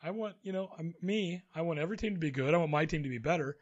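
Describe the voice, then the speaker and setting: A man's voice: fairly high pitch, quick pace, muffled recording. A man co-hosting a casual sports talk show, reading the news and then riffing with his own opinions. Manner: chatty, reads news then riffs with opinions. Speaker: podcast co-host.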